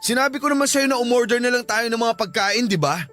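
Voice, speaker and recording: A man's voice: extremely high pitch, fast talker, sharp tone, faint music behind. A man with a Filipino accent playing a tired, defensive husband and father in a radio drama. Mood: defensive, irritated.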